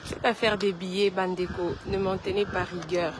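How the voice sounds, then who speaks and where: A woman's voice: lowish voice, level tone, normal speed, noisy background. A woman, sick with a cold, chatting straight to her followers on a livestream. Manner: chatty, talks straight to viewers. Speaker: page host addressing followers. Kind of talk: casual phone livestream chat.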